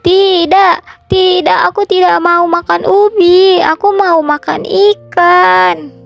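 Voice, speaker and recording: A woman's voice: very high voice, steady tone, gravelly, faint music behind, pitched above the performer's own voice, heavily acted. A woman voicing a small, whining, pleading child in a children's folktale. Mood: whining, insistent. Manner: whiny, drawn-out pleading. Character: whining hungry youngest child, pleading for mother.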